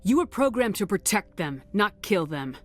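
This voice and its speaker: Game role: resolute female commander acted by a woman, direct and serious, average pitch. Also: very animated, moderate pace, music in the background, natural voice. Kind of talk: scripted video game dialogue.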